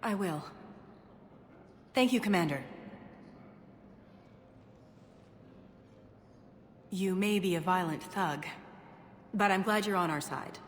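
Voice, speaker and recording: A woman's voice: fairly low pitch, unhurried, natural voice. Echoey, reverberant game role: confrontational female reporter played by a woman, firing pointed, accusatory questions over some background noise. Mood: subdued. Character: pushy, confrontational female news reporter in science fiction. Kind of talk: video game voice acting. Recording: echoey hall with long reverb, noisy.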